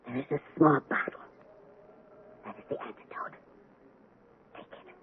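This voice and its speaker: An actor playing an extortionist who gives slow, hushed, commanding orders in a radio drama. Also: male voice, natural voice. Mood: menacing. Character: menacing extortionist giving cold orders. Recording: tinny old radio broadcast recording.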